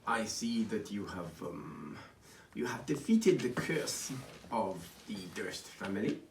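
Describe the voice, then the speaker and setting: Man doing a lordly vampire count, smug and menacing, fake French lilt, low and slow, echoey room, off-mic. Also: big pitch swings, exaggerated voice. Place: game store. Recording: roomy, distant mic, faint noise.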